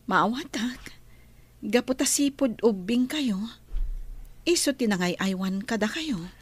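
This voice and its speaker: A woman's voice: on the high side, expressive, unhurried, breathy edge. A woman with an Ilocano accent playing a sympathetic, concerned wife in a radio drama.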